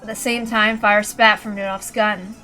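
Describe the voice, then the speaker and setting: A woman's voice: medium pitch, flat delivery, normal speed, sharp tone. Woman narrating over faint music. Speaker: narrator and reader. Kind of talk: dramatic narration of a visual novel. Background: faint background music.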